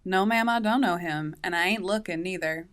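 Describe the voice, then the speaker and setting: Woman acting a low-voiced tough backwoods daughter. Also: normal speed, a bit bright, lightly acted. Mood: matter-of-fact. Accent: rural Southern US. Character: tough, self-reliant backwoods daughter who hunts. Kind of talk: radio drama acting.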